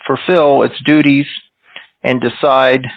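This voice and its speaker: Slow, even-toned man reading a formal message. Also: mid-range voice, gravelly, dry recording. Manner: deliberate, formal, polite. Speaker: caller leaving a voicemail for a court. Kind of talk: reading a scripted phone message. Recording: slightly muffled, dull sound.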